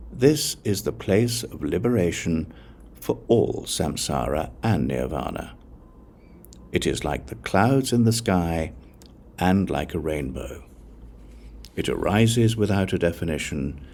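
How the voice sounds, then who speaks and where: A man narrating an audiobook of scripture, reading scripted text in a measured, solemn way, phrase by phrase. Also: sits low, darkish tone.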